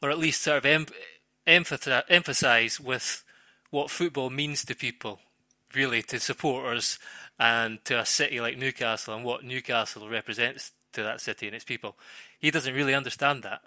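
Man, very bright forceful voice, dry close sound, conversational sports analysis, halting, self-correcting. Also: mid-range voice, fairly even intonation, no room sound. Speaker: podcast co-host.

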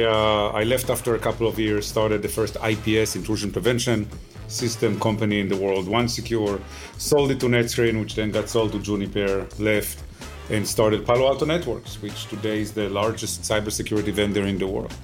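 Israeli man, 50s, low voice, measured interview guest, faint music bed. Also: steady tone. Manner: measured, explanatory, pauses with "uh". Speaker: tech company founder, guest. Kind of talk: interview answers.

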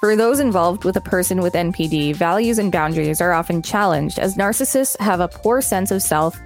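Lower-pitched woman reading over music. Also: animated, fairly quick. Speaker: podcast co-host reading an encyclopedia article. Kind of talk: scripted narration read aloud, with brief casual commentary.

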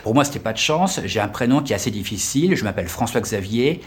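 A French man in his fifties, a tour guide answering interview questions casually, pausing often with fillers and restarting his phrases. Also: lowish voice, lively intonation. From France. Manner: hesitant, with many fillers and restarts.

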